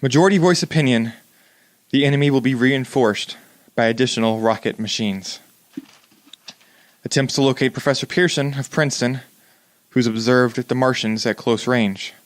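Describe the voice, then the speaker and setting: A man's voice: medium pitch, moderate pace, pauses often, no room sound, lightly acted. A man playing a radio news announcer in a 1930s-style drama, reading bulletins in a formal, grave manner.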